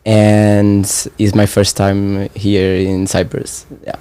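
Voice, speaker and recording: A man in his twenties with a Portuguese accent, speaking English as a second language in a casual conversation, halting and searching for words with many fillers. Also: lowish voice, monotone, mellow, smooth, no echo. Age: 20s. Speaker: training participant.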